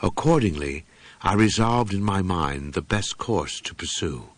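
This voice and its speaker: A man narrating a first-person horror audiobook in a solemn, brooding, deliberate manner with dramatic pauses. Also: low voice, animated, no echo.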